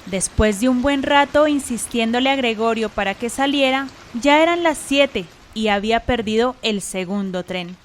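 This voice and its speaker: A woman's voice: on the high side, lively intonation, moderate pace, few pauses. A woman giving scripted narration for a radio drama, with rain-like hiss.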